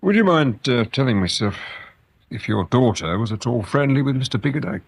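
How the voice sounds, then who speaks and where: An actor playing a courteous, quietly probing police inspector with an English accent in a radio drama. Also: male voice, medium pitch, expressive, breathy edge, no echo. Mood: politely insistent. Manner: polite, measured, drily insistent.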